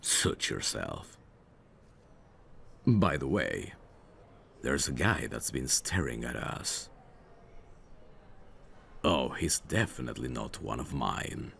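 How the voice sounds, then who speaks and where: A man playing a suave, teasing mafia boss in an ASMR audio roleplay, flirtatious with a faint edge of menace and an unhurried manner. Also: a bass voice, big pitch swings, vocal fry, a bit bright, slight background noise, exaggerated voice.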